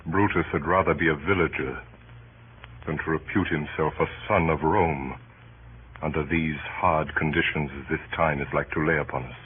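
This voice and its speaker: An actor playing an honorable, troubled Roman nobleman with solemn, measured delivery in radio Shakespeare. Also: rumbling low, fairly even intonation. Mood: grave, reserved. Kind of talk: 1930s radio Shakespeare acting. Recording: tinny, hissy old radio recording.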